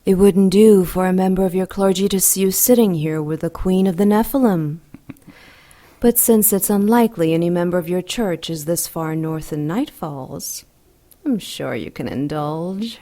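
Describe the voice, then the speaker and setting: A woman's voice: lowish voice, moderate pace, mellow, natural voice. An actress playing a seductive, commanding demon queen with a silky, teasing manner in an audio drama. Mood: flirtatious. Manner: silky, teasing, self-assured.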